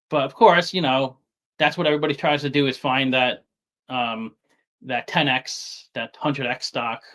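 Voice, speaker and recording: A man from New York City with a softened New York accent, talking casually as an interview guest, halting and often repeating words as he restarts. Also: fairly high pitch. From Staten Island, New York City. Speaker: guest investor.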